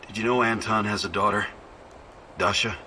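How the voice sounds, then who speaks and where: An actor playing a gruff, loyal male mercenary in a video game, speaking in an earnest, plain-spoken, sombre way. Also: on the low side, steady tone, moderate pace, noisy background, natural voice. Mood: sombre, sincere. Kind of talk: video game character acting.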